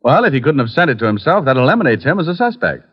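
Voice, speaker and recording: An actor playing a wisecracking, smooth-talking private detective in the manner of 1940s radio drama. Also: male voice, medium pitch, sing-song, very fast. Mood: needling, glib. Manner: glib, teasing, smooth-talking. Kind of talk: 1940s radio detective acting with first-person narration. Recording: dull vintage radio recording with music.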